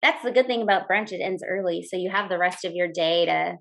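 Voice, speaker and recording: A woman who is a teacher and blogger, a podcast guest speaking in a relaxed, upbeat way, full of "like" and "you know". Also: on the low side, a little fast, creaky. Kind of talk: casual interview chat.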